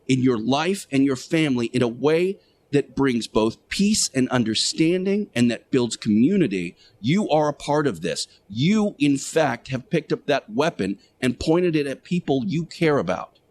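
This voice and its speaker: A man delivering a sermon-like radio monologue as a show host, speaking emphatically and preachily with deliberate rhetorical repetition. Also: on the high side, expressive, a little mellow.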